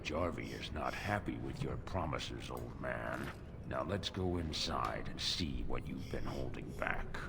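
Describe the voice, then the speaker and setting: An actor playing a menacing gang thug in a video game, speaking with mock politeness and open threat. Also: male voice, a bass voice, measured pace, creaky, background noise, heavily acted. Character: menacing male gang thug shaking down merchants. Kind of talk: video game character acting.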